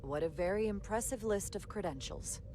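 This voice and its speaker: A woman's voice: medium pitch, expressive, normal speed, background noise, close to an everyday voice. A woman playing a composed, modest starship first officer with a polite, businesslike manner, as in a cinematic video game. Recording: music behind the voice.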